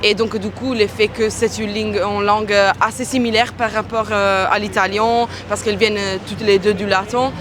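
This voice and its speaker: A woman's voice: mid-range voice, flat delivery, normal speed, hardly any pauses, noisy background. Young woman, hesitant. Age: young adult. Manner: hesitant, searching for words. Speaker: exchange student. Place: city street outdoors.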